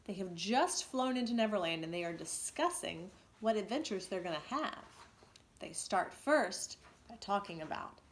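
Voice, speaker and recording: A woman's voice: medium pitch, slight background noise. A woman teacher narrating a storybook aloud for students, expressively, with asides and questions to the children. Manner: expressive, pausing to ask questions.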